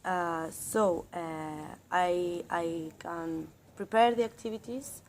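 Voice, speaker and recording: A woman's voice: fairly low pitch, slight background noise. A woman in her thirties in a classroom role-play, speaking hesitantly with many fillers and restarts. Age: 30s.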